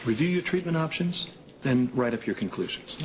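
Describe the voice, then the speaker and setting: An older man acting as a matter-of-fact doctor in a television soap. He instructs in a businesslike manner with lively intonation, over clearly audible noise. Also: medium pitch, moderate pace, close to an everyday voice.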